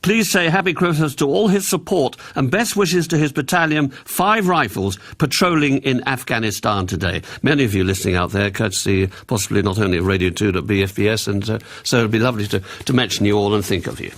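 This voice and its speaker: A man's voice: fairly low pitch, a little fast, hardly any pauses, a bit bright. An English man in his sixties hosting a radio request show, chatting and reading out listeners' messages. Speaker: radio host.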